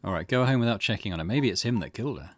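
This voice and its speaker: A man hosting a gaming channel, reading game text aloud and commenting in a low-key way. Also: expressive, racing, faint music behind.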